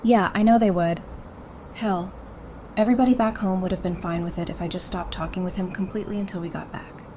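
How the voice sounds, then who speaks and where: An actress playing a frank, determined and playful psychiatrist surviving the apocalypse, talking informally in an audio drama. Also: female voice, fairly low pitch, fairly even intonation, brisk, close to an everyday voice. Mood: firm. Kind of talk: fan audio drama acting. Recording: clearly noisy, with ambience behind.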